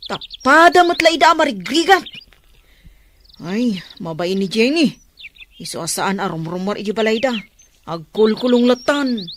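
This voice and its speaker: An actress playing a frank, caring young friend who comforts and scolds expressively in a radio drama. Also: female voice, fairly high pitch, lively intonation, normal speed, long pauses, a little hiss or hum, lightly acted. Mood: reproachful.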